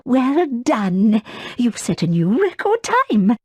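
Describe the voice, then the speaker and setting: Brisk witch professor, high lively voice, formal, encouraging, video game. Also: background noise, heavily acted. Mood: welcoming, congratulating. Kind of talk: scripted video game instruction.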